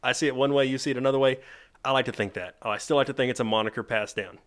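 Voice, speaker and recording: A man co-hosting a podcast in casual chat, excitable, laughing often and swearing now and then. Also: medium pitch, racing, clean tone. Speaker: podcast co-host.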